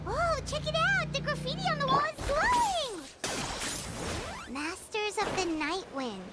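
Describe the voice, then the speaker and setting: A woman voicing a tiny, excitable, childlike fairy companion in a video game, squeaky and chatty, full of exclamations. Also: unhurried, heavy background noise, exaggerated voice. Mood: excited. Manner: exclamatory, speaks of self in third person. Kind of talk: cartoonish video game voice acting.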